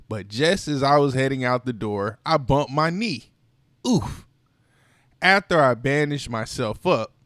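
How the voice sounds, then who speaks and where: A man narrating a children's read-aloud in a slow, unhurried way, his pitch bouncing up and down expressively. His voice sits fairly high for a man and sounds close and dry, with playful sighs and exclamations. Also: a bit bright, no room sound. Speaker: storytime reader.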